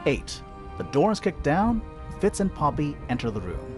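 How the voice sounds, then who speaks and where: An inquisitive game detective, with a very lively, swinging pitch. He thinks aloud in a conversational, expressive way, reasoning step by step over clear background music. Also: moderate pace. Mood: methodical recap. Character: inquisitive male detective piecing together a murder mystery. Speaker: detective character in a mystery game. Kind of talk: video game voice acting, conversational deduction.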